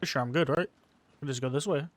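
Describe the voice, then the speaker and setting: A man's voice: mid-range voice, rapid-fire, slight background noise. Casual guy muttering through a playthrough. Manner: slangy, muttering reactions to himself. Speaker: gamer commentating his own playthrough. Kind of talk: casual let's play commentary.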